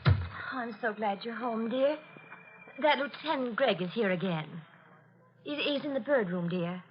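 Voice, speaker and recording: An actress playing an anxious, devoted wife in an old radio drama, fretful, hesitant and affectionate. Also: female voice, a little high, unhurried, slight background noise, lightly acted. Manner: fluttery, hesitant, solicitous. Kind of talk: 1940s radio drama acting. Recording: muffled old radio recording.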